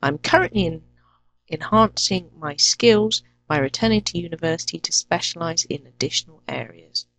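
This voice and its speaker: English lady teacher introducing herself, low voice, clear and careful, ticks through a list. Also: expressive, fairly bright tone, low-quality recording. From England. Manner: clear, deliberate, lists items one by one. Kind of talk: self-introduction to a teaching channel.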